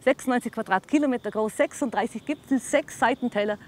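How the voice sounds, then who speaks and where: A woman's voice: on the high side, fast, slight background noise. A woman from the Kleinwalsertal with a Walser German accent, guiding a hike and explaining the landscape with enthusiasm, often adding tag questions. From Kleinwalsertal, Austria. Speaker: local hiking guide. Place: outdoors on a mountain trail. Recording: background music under the talk.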